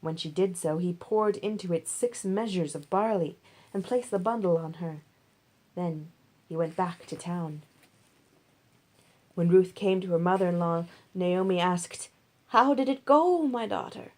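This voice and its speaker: A woman's voice: fairly low pitch, fairly even intonation, a little slow, mellow. A woman reading scripture aloud as a storyteller, as in a recorded audiobook. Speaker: audiobook reader.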